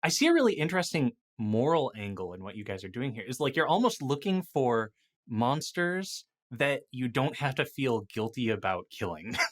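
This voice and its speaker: A man speaking as a guest in a casual games discussion, thoughtful and a little halting. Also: mid-range voice, very animated. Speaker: podcast guest, game designer and writer.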